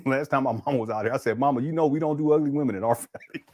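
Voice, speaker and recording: A man's voice: mid-range voice, fast, a little mellow. A man hosting a casual podcast, joking and laughing through loose, slangy banter.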